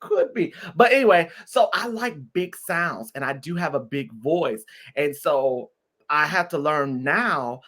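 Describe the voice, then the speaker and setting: Guest: thirties man, animated singer, swings loud to soft, chatty. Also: fairly high pitch, unhurried. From Detroit. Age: 30s. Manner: lively, expressive, swings from loud exclamations to soft asides. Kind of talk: casual interview chat.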